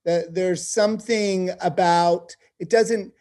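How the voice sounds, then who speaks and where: Male interviewee, TV producer. Soft-edged mellow tone, emphatic and conversational. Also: high-pitched, steady tone, slow pace. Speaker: television producer being interviewed.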